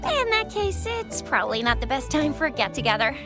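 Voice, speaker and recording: A woman voicing a friendly, eager young female patroller in a video game, chirpy and expressive. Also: high-pitched, fairly quick, music in the background. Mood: curious, understanding.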